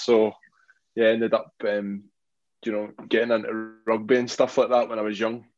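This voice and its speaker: A man's voice: mid-range voice, a little mellow, dry recording. Glasgow lad, rugby guy turned boxer, rambles with lots of ums, muffled dry recording. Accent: Glaswegian. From Scotland. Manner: rambling, repeats phrases, many fillers. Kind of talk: casual interview answers.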